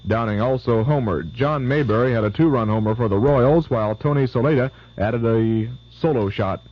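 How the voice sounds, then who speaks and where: A man reading the sports results and weather forecast on radio news in a brisk, even way. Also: mid-range voice. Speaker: radio newscaster. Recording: lo-fi 1970s AM radio.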